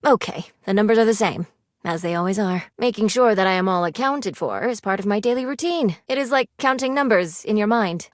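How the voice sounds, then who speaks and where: A woman's voice: mid-range voice, dry recording, clean studio sound, close to an everyday voice. A woman playing a video game character, prim and aloof money personified as a stern financial advisor, speaking in a formal, clipped, precise way.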